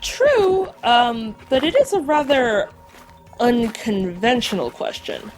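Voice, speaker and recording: A woman's voice: a little high, sing-song, slow pace, soft background music. A woman playing an earnest, bookish lover of legends in an audio drama, precise and explanatory. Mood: hesitant.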